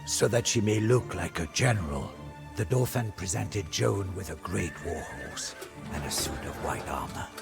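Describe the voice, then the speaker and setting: A weary, loyal soldier chronicling a medieval war for a video game. His voice is very low and creaky, with a breathy edge. He tells it gravely and theatrically, at a measured tread, over prominent music. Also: animated. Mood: solemn, proud. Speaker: narrator. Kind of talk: dramatic scripted storytelling for game cutscenes.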